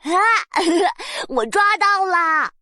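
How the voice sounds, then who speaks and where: A woman's voice: extremely high pitch, lively intonation, very slow, bright, forceful tone, no room sound, clean studio sound, pitched above the performer's own voice, full character voice. A woman voicing a young, curious, eager puppy in a children's storybook, with a cute childlike voice and wide-eyed, earnest phrasing. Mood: excited.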